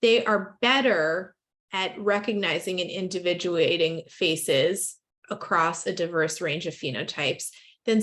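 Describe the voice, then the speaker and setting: A woman's voice: lowish voice, a bit bright. Conversational woman leading a medical seminar. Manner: conversational, hedging with "like" and repeats. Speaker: lecturer. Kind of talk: academic discussion with residents.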